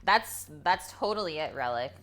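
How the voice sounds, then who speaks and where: A woman's voice: on the low side, expressive, normal speed, fairly bright tone. A woman hosting a live cooking stream, talking in a chatty, informal way to viewers as she works and tells stories. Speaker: cooking livestream host.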